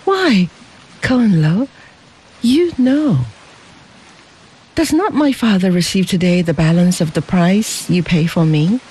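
A narrator voicing a gentle, devoted young woman in an audiobook, cooing and soft in a raised voice. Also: medium pitch, expressive, slow, pauses often, husky. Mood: tender. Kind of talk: audiobook character voice.

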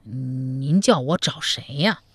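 A man storyteller voicing an anxious, gullible young male suitor who pleads quickly and fretfully. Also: medium pitch, husky, no echo, pitched below the performer's own voice. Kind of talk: storytelling, acted dialogue.

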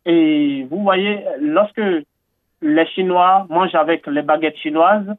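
High-voiced man on a tinny line. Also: takes it slowly, no echo. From Benin. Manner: earnest, enthusiastic, with frequent fillers and repetitions. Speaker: interviewee. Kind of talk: radio interview. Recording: tinny phone-like line, dry.

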